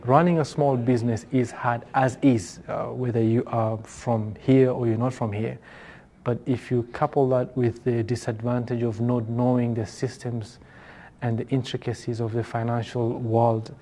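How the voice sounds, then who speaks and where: A man's voice: mid-range voice, a little hiss or hum. A man speaking for a business development center in an interview, hesitating often with fillers. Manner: flat, hesitant with many fillers. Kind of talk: interview talk.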